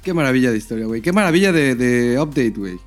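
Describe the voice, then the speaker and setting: Mexican dude cohosting a podcast, mid voice, lots of slang n swearing, dry mic, light music under it. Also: male voice, medium pitch, expressive, quick pace, dry recording. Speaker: podcast co-host. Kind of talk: casual chat.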